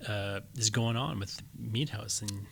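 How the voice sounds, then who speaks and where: A man's voice: on the low side, unhurried, gravelly, slight background noise. A man hosting a podcast about writing, talking casually and explaining his points through leading questions. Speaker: podcast host. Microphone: studio microphone.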